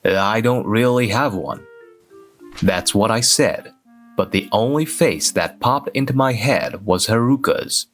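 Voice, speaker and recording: A man playing a reserved, awkward office worker who narrates his own manga-dub story in a measured, deadpan way. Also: lowish voice, soft background music. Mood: flat, dismissive. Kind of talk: scripted first-person narration in a manga dub.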